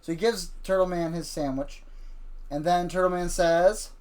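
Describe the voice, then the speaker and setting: A man hosting an improvised story session with a child, wry and gently teasing as he narrates. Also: high-pitched, steady tone, normal speed. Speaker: host, parent telling a story with a child.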